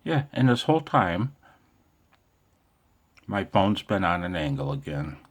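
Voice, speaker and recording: Very low-voiced American man chatting casually. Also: big pitch swings, a little slow. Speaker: amateur radio operator and video host. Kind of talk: casual off-the-cuff vlog chat.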